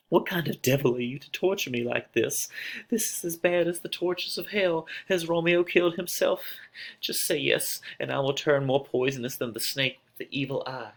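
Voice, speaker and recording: A man's voice: mid-range voice, gravelly, voice pushed up. A man playing a lovesick young girl in a dramatic reading of a tragedy, declaiming with open emotion. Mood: anguished. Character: lovesick young girl, impatient, then anguished and grieving.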